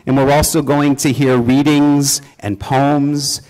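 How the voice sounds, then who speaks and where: A man who is the pastor, welcoming his congregation and introducing a service in a church with an earnest, measured delivery. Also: mid-range voice, flat delivery, darkish tone, poor audio.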